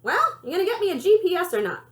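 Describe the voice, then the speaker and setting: A woman voicing a proud, feisty storybook grandmother who talks in a folksy, emphatic, excited way. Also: extremely high pitch, quick pace, voice pushed up. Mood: breezy, insistent. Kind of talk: children's storybook character voice.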